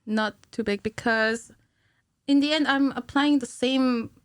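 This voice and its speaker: A woman's voice: a little high, fairly even intonation, a little slow, clean tone, no room sound, studio quality. A woman in her thirties talking informally as a podcast guest, thinking aloud in short bursts. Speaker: podcast guest, cinematic animator. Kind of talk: casual interview chat. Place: small indoor podcast studio. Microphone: studio mic on a stand, headphones.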